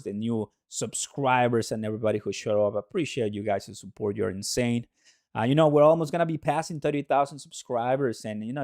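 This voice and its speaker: A man from Mexico, a native Spanish speaker with a Mexican accent, hosting a livestream in an excitable, hype-driven way and repeating phrases for emphasis. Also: medium pitch, dry recording, studio quality. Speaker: livestream host. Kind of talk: excitable livestream commentary to viewers.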